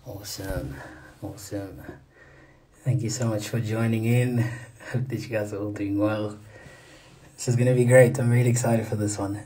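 A man's voice: fairly low pitch, fairly even intonation, moderate pace, long pauses, dark tone, slight background noise. Upbeat young man hosting a live call. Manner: upbeat, enthusiastic, many fillers. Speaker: host. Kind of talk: casual livestream interview. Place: small indoor room. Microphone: phone front camera, video call.

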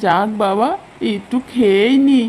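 Woman voicing a mellow, muttering cartoon village husband. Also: medium pitch, measured pace, lightly acted, rough recording. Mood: torn, muttering. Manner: muttering to himself, exclamatory. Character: grumbling, food-loving village husband, sheepish son-in-law. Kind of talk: Bengali cartoon folk-tale acting.

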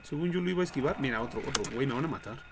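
A young man with a Mexican accent commentates a horror game live in slangy, profane bursts. His voice has a gravelly fry in it. The home livestream recording is noisy, with game ambience behind him. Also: mid-range voice, animated, racing. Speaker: game streamer.

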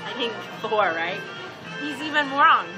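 A woman's voice: a little high, animated, measured pace, low-quality recording. A young mother in a casual family chat over dinner, teasing and giggling, recorded on a handheld phone in a supermarket dining area. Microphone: handheld phone camera. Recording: busy store with music playing. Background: store music and shopper chatter.

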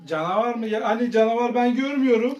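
A woman's voice: fairly high pitch, fast talker, a little mellow, muffled recording, lightly acted. A woman playing a stern, dismissive police officer who scolds and threatens in a children's skit.

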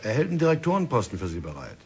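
A coaxing company envoy. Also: male voice, medium pitch, wildly swooping pitch, very fast, darkish tone. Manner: persuasive, coaxing. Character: smooth male envoy from a diamond company. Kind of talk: 1960s radio drama acting.

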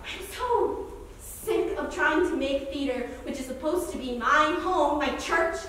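Woman playing frustrated defiant young theater maker, stage reading, very high pitch, distant echoey recording. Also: a little slow, fairly bright tone, a little hiss or hum. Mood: fed up. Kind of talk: staged play reading, argumentative monologue. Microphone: far camera.

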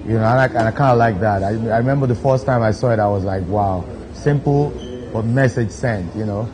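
A Nigerian man in his thirties, a DJ sitting in a studio armchair and talking to camera in a relaxed way, with lots of "you know" fillers. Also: on the low side, brisk, crowd noise, poor audio. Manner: laid-back, frequent "you know" fillers. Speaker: DJ hosting his own video countdown. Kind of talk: relaxed seated talk to camera. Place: studio set, seated in armchair.

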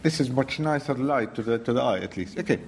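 A man's voice: medium pitch, animated, fast. Hesitant Syrian man, some background noise. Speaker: artist presenting his work. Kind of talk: informal illustrated talk. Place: public event on a stage.

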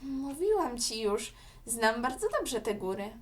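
A woman voicing a calm, secretive old grandmother in a children's audio story. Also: on the high side, measured pace, distant mic.

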